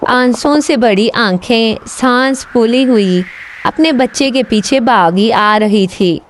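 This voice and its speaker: Slow, high-voiced woman storyteller. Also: slight background noise. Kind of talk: scripted children's story narration.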